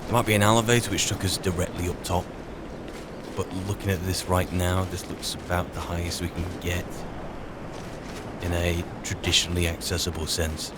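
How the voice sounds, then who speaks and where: Guy roleplaying a adventurer in a fantasy game, low voice, wry muttering to himself, noisy ambience behind. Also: flat delivery, moderate pace, natural voice. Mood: musing. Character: wry adventurer musing aloud on a mountain trek. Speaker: player roleplaying a game character on a let's play channel. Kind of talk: in-character improvised game narration.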